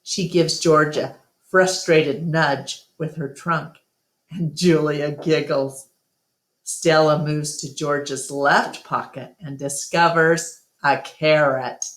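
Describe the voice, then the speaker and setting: A woman reading a storybook aloud as narrator, deliberate and expressive. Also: low-pitched. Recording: echoey, reverberant room.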